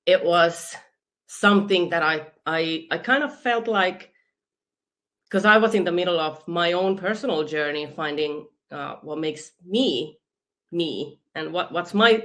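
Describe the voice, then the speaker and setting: Woman, Finnish accent, bright clear tone, eager interview guest, video call. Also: on the low side. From Finland. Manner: enthusiastic, laughs often, restarts words. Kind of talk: conversational interview.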